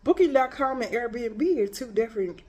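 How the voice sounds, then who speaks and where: A woman's voice: fairly high pitch, animated, moderate pace, mellow, highs cut off. A woman with a congested, stuffy voice telling a chatty, exasperated story for her travel vlog in casual slang. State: congested from a cold. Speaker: travel vlogger.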